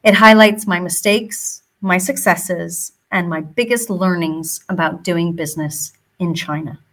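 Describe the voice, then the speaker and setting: A woman's voice: on the low side, measured pace. A woman in her forties from Hong Kong with a mixed American and British accent, hosting a podcast and telling her own story casually with frequent ums. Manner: relaxed, fillers like um between phrases. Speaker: podcast host. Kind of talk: scripted podcast intro then casual storytelling.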